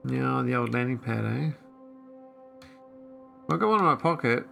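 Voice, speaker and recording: A man's voice: medium pitch, animated, moderate pace, gravelly, bright, forceful tone, soft background music. A man casually commentating his own gameplay, thinking aloud and rambling with fillers into a desk microphone. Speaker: gameplay streamer. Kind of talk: casual let's-play commentary.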